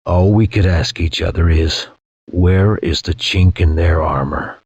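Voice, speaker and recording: An actor playing a grim, war-weary soldier who solemnly narrates a space war in a game cutscene, in a husky, gravelly, measured voice. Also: male voice, low voice, fairly even intonation, a little mellow, no echo, highs cut off, heavily acted. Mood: grim, haunted. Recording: faint music behind a dry voice.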